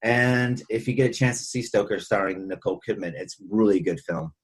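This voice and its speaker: Husky-voiced man hosting a film chat. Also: lowish voice, a little fast. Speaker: podcast host. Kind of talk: casual film discussion.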